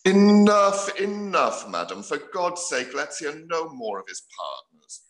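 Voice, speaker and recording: Long-suffering Regency husband man acting: middle-aged, English, dry, slow, roomy video-call sound. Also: fairly high pitch, big pitch swings, fairly bright tone. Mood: exasperated. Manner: dry, pleading, emphatic. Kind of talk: theatrical period drama read-through. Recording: echoey room over video call.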